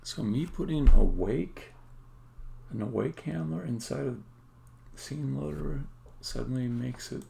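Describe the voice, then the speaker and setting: A game developer thinks aloud on a coding stream in a heavily gravelly, fry-laden voice. The delivery is halting and muttered, as if to themselves. Keyboard typing and clicks sit over a faint background noise. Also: mid-range voice, warm tone. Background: computer keyboard typing and clicking.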